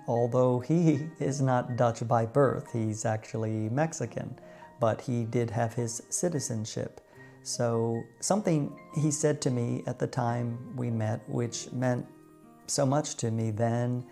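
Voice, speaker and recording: American male vlogger, middle-aged, gravelly and mellow, measured reflective storytelling, soft music behind. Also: medium pitch. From United States. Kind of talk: personal storytelling to viewers.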